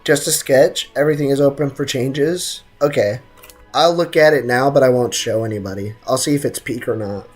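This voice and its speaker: Streamer, young man, American accent, off-the-cuff slangy gaming chatter, soft music underneath. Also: medium pitch, moderate pace. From United States. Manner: slangy, reads chat aloud and answers it. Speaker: game streamer. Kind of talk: casual live gaming chat. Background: game music.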